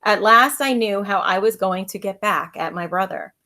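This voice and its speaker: A woman's voice: medium pitch, normal speed, sharp tone. A woman who is an elementary school teacher, reading a children's storybook aloud with expression.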